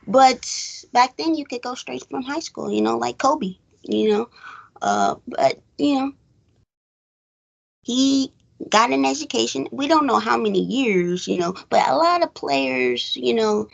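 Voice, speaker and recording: A woman's voice: a little high, very animated, a little slow, creaky. A woman hosting a casual film discussion, rambling conversationally and thinking aloud as she goes. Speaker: host of a film recap show.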